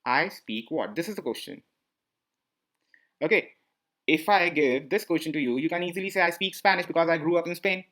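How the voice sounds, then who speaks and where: A man's voice: on the high side, fairly quick, pauses often, a bit bright. A man explaining a lesson over slides, often repeating himself and asking the listener questions. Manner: repetitive, asks listeners questions. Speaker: teacher. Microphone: voice-over on slide screencast.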